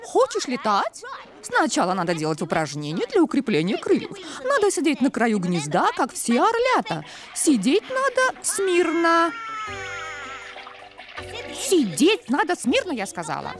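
A woman's voice: sits high, wildly swooping pitch, a little slow. A woman dubbing a cartoon, stern and scolding toward unruly chicks. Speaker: dub voice actor. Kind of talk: dubbed cartoon acting. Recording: music bed.

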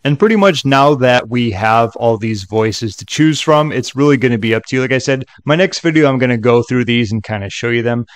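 A man narrating a software tutorial in a casual, conversational way with chatty asides. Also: mid-range voice, expressive, a little fast, hardly any pauses, no room sound, clean studio sound.

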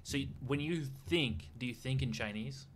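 A young man hosting a video, chatting with strangers online in a loud, teasing, hyped way from a small room with a headset. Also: medium pitch, moderate pace. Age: young adult. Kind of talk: casual online chat.

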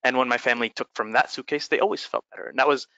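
A man's voice: mid-range voice, steady tone, rapid-fire, bright, forceful tone, no echo, muffled recording. A man from Egypt, a startup founder, giving an earnest webinar presentation with many fillers.

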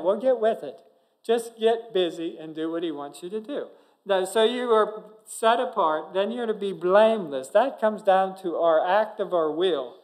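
A middle-aged man preaching from a church pulpit in a fairly high voice. He delivers the sermon earnestly, with sudden bursts of loud emphasis and frequent restarts. The sound comes through a pulpit microphone in a church sanctuary. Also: rough recording. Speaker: pastor. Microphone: pulpit microphone, far camera.

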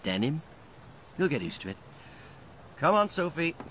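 An actor playing a vain, quick-witted young male wizard in a radio drama, sardonic and theatrical. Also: on the high side, very animated, noisy background. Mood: impatient, commanding.

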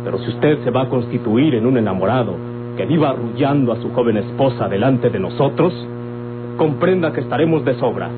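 Actor as a formal, moralizing son, muffled radio. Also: male voice, mid-range voice, animated, fairly quick, lightly acted. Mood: stern, moralizing. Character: upright, reproachful grown son. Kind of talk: formal old-time radionovela acting. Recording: muffled, hissy old radio recording.

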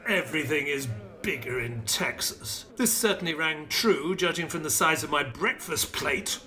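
An actor playing a genial, inquisitive sidekick who narrates an audio play in an earnest storytelling manner. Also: male voice, on the high side, pushed and bright.

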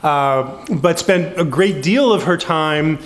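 Higher-voiced man introducing a speaker. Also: slow. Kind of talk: formal introduction. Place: conference hall, at a lectern. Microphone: lectern microphone.

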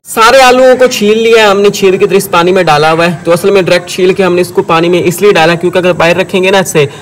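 Fast-talking Pakistani man with a higher-pitched voice. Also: fairly bright tone. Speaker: village cooking vlogger. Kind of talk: casual recipe narration. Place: outdoors in village fields and a brick-walled courtyard. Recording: clear outdoor ambient noise.

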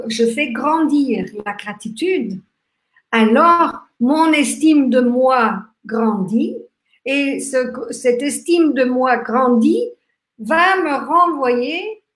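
A woman in her fifties from Austria with an Austrian German accent, German her first language, giving a gentle, reflective live talk with many restarts and fillers. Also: high-pitched. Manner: talks with lively hand gestures. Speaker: workshop host. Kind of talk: live online talk on gratitude. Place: small room indoors. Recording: echoey room.

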